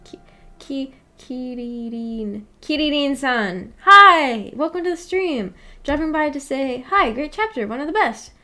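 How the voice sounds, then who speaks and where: High-voiced woman streamer, giggly and rambling. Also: animated, a little slow, bright, forceful tone, soft background music. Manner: giggly, laughs often while talking; rambling, self-questioning, puzzled. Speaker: streamer hosting a visual novel book club. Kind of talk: casual livestream chat reacting to a story.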